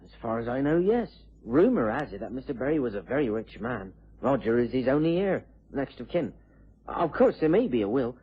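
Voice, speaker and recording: Humble, husky country police sergeant. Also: male voice, mid-range voice, very animated, a little fast. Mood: cautious. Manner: deferential, plain-spoken, homely. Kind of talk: vintage radio drama acting. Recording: muffled old radio drama recording.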